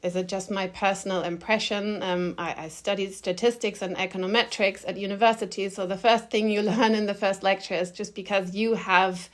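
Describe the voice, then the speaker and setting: A middle-aged woman speaks as an interview guest at a medium pitch. A cold leaves her voice a little nasal. She is candid and conversational, putting firm emphasis on her points. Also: steady tone, a little fast, hardly any pauses. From Germany. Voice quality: slightly nasal. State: has a cold. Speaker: interview guest, agency founder.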